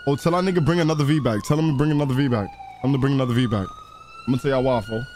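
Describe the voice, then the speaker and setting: A man's voice: mid-range voice, wildly swooping pitch, very fast, darkish tone, a little hiss or hum. A man streaming a crime role-play game, chatting casually in slang and often repeating his phrases.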